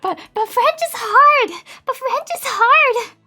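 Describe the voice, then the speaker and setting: A woman's voice: extremely high pitch, slow, bright, forceful tone, faint music behind. A woman streamer whose native language is Japanese, chatting casually and giggling often, with many fillers. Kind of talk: casual live-stream chat.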